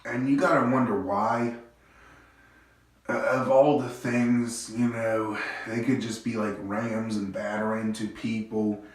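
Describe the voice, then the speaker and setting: A man's voice: level tone, slow pace, distant mic. A congested, stuffy-sounding man hosting a casual, rambling monologue from his home bathroom. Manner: rambling, low-energy musing. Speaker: host. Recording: very echoey bathroom, voice off-mic.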